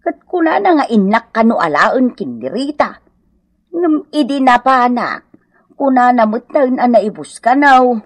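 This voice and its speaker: A woman's voice: fairly high pitch, sing-song, unhurried, no room sound. A woman acting an aggrieved elderly mother-in-law in a radio comedy drama, plaintive and reproachful, with an Ilocano accent. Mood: complaining.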